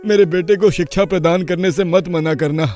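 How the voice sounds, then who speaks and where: Man doing poor humble village dad in a cartoon, pleading n respectful, highish voice, light music behind. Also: steady tone, brisk, darkish tone, close to an everyday voice. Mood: earnest, deferential. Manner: deferential, pleading. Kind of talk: Hindi moral-story cartoon dialogue.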